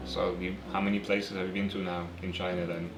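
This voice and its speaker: A male interviewer with a low voice asks questions casually and keeps the chat moving with easy murmurs. The room sounds echoey, and music plays clearly behind him. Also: little pitch movement, a little fast. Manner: easygoing, frequent murmured agreement. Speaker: interviewer and channel host. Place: apartment room.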